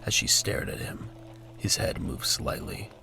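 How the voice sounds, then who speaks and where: A man reading scripted narration for a military audio drama as its narrator. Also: measured pace. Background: music.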